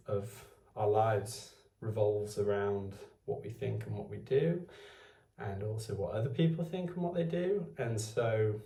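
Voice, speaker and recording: Guy in his 20s, gravelly low voice, just rambling thoughts to his phone, roomy echo. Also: dark tone, recorded from a distance. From Wales. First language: Welsh. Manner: meandering, thinking aloud. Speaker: vlogger. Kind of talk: unscripted musing to camera.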